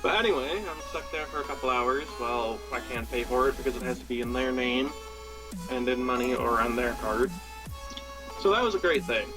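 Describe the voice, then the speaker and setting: A man's voice: medium pitch, brisk, poor audio. A young American man telling a personal story casually, in a rambling, self-deprecating way. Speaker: podcast storyteller. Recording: background music throughout. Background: music.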